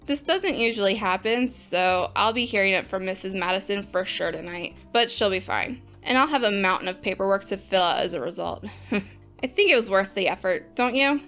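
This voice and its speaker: A woman's voice: mid-range voice, lively intonation, moderate pace, a bit bright. A woman playing a cheerful, practical research instructor who talks in a breezy way, with faint music behind. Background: faint background music.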